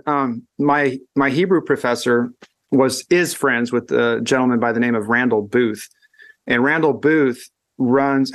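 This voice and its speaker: A man speaks in a relaxed, conversational way, working out his ideas aloud. His sentences often stall and restart while he searches for the right word. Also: mid-range voice. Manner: thoughtful, halting with frequent restarts. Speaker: guest educator. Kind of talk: casual conversation.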